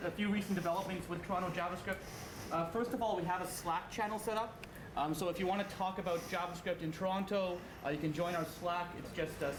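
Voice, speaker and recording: A man makes casual announcements to a meetup crowd, with plenty of ums and uhs. His voice sits a bit high for a man, and it echoes around the room over clear background noise. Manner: casual, with many fillers. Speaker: meetup organizer making announcements.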